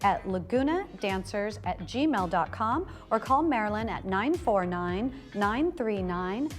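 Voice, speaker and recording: A woman's voice: medium pitch, lively intonation, a little slow, soft background music. An American woman working as a TV host, chatting with guests and presenting local announcements. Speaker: TV show host.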